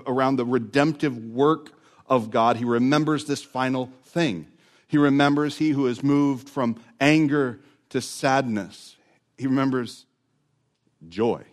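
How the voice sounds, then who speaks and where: Man, pastor, preaching, mid pitch, dynamic swings in volume, drawn-out words. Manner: dramatic swings from loud to hushed, drawn-out words. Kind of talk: sermon.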